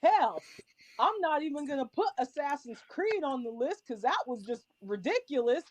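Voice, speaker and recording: A woman's voice: high-pitched, sing-song, normal speed, darkish tone, dry recording. A woman chatting casually as a podcast panelist, giving blunt, emphatic opinions in a heated group discussion.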